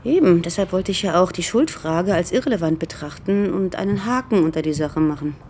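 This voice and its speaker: An actress playing a businesslike, dry, persistent police detective in a naturalistic radio drama. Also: female voice, lowish voice, expressive, fairly quick, a little mellow, slight background noise, natural voice. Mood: wry.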